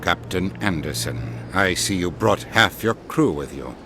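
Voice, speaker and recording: A stern ambassador. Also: male voice, deep voice, animated, unhurried. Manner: stern, scolding. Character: stern male ambassador, lecturing. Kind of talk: video game voice acting. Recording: game audio over music.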